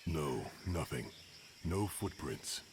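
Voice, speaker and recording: An actor voicing a brooding, hot-tempered orc warrior in a video game, speaking bluntly and cynically. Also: male voice, rumbling low, expressive, slow, noisy background, exaggerated voice. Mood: gruff, dutiful. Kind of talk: video game cutscene acting. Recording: loud outdoor ambience with insects.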